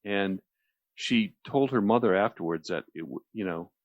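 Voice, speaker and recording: Deep-voiced man, talk radio. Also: moderate pace, no room sound, narrow, tinny audio. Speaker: radio show host explaining relaxation technique. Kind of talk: conversational talk radio explanation.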